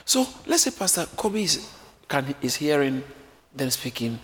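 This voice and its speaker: A man preaching a sermon, lively and often acting out the voices of a crowd, joking with his congregation. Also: fairly high pitch, measured pace. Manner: animated, acts out crowd voices, jokes with congregation. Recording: echoey hall with faint room noise.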